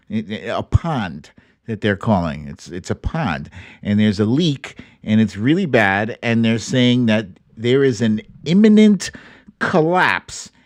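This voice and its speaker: A man hosting a livestream, giving informal, wry and halting commentary as he chats with viewers. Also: medium pitch, no echo, clean studio sound. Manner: informal, wry, halting delivery with occasional swearing. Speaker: livestream host. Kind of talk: casual live commentary, reading viewer comments.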